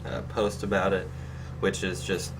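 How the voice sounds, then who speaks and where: Deep-voiced man on a distant mic. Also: little pitch movement, unhurried. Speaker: podcast co-host. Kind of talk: casual discussion, reading out facts. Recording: distant mic with background noise.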